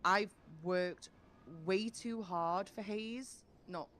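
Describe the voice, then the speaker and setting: A woman's voice: mid-range voice, drawn-out words, fairly bright tone, a little hiss or hum. A woman playing a proud, hardworking business manager in improvised game role-play, earnest and polite but insistent. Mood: passionate, defensive. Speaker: role-player in an online game.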